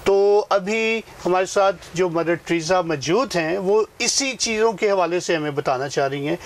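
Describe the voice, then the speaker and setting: A man's voice: high voice, racing. A middle-aged man hosting a TV studio interview, polite and formal, with short affirmations between questions. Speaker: talk show host. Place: TV news studio set.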